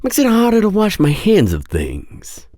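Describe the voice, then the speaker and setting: A man's voice: sits high, huge pitch swings, unhurried, dark tone, dry recording, clean studio sound, full character voice. A man playing an imperious crime boss in an audio roleplay drama, smug, cold and businesslike. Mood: impatient, curt.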